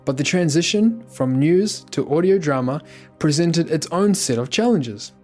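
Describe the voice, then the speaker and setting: Higher-pitched male documentary narrator. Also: lively intonation, normal speed, darkish tone, faint music behind.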